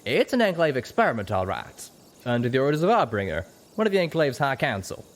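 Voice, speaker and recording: A man's voice: mid-range voice, very animated, brisk, pitched above the performer's own voice, close to an everyday voice. A man voicing a folksy, nervous male pegasus cowboy pony in an audiobook. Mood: grave. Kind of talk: audiobook character voice.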